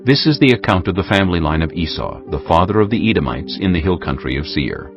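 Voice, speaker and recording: Male narrator, deep register, measured scripture reading, animated melody, slightly dull recording, clear musical backing. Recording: dull, muffled audio under music.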